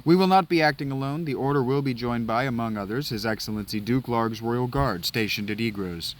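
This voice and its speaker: A man's voice: mid-range voice, brisk, a little hiss or hum, natural voice. A man voicing a stern male knight commander, speaking formally and declaiming orders. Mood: commanding.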